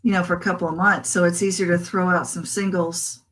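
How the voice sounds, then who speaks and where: Woman musician, interview guest; bright, chatty, quick to laugh. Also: lowish voice, little pitch movement, a little hiss or hum. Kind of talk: casual interview chat.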